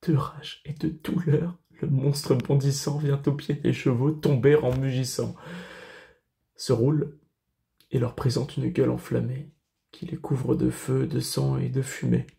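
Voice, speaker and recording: A man acting a grieving, solemn tutor in a classical French verse tragedy, declaiming in measured phrases with dramatic pauses. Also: mid-range voice, level tone, vocal fry, mellow. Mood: urgent, intense. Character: grieving male tutor recounting a horror, solemn. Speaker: actor. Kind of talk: classical French verse tragedy monologue, self-tape audition.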